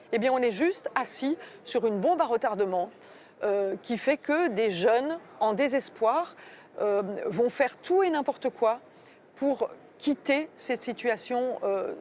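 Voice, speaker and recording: A woman making a firm argument in a panel debate, with measured pauses. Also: on the high side, darkish tone.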